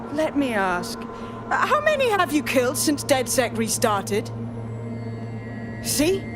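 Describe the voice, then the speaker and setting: A sardonic villain lady from a video game, high voice that swoops all over, loud music behind. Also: huge pitch swings, takes it slowly, long pauses, full character voice. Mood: scornful. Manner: taunting, theatrical, sardonic. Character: mocking female villain, cynical zealous mastermind.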